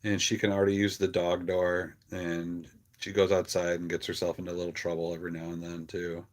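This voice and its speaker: Low-voiced man chatting casually on a livestream. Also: completely flat delivery, a little fast. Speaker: pet channel streamer. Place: on a farm.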